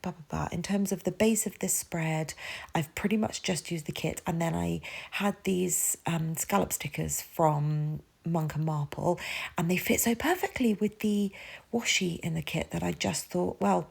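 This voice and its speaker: Soft-spoken woman with a stuffy nose. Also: fairly low pitch, measured pace, creaky, slightly rough. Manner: rambling, self-deprecating, lots of fillers. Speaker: planner vlogger. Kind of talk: casual voiceover narration.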